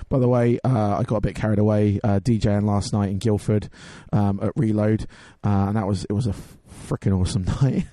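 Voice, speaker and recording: A young man with a hoarse voice hosts his show in a loose, rambling way, filling gaps with ums. His voice sits low and mellow. The sound is dry and close. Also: steady tone, brisk, hardly any pauses, dry recording. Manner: rambling, many ums and restarts. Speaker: radio and podcast host, DJ. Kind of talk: casual chat.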